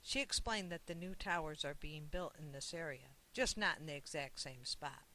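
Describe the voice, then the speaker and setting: An author reading a children's picture book aloud in a measured, expressive way. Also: medium pitch, slight background noise, low-quality recording. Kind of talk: scripted picture-book narration.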